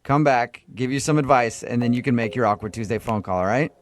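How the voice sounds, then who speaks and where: A man's voice: medium pitch, brisk. Joking male radio morning host. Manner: joking, teasing. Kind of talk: casual comedic radio banter. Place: radio studio.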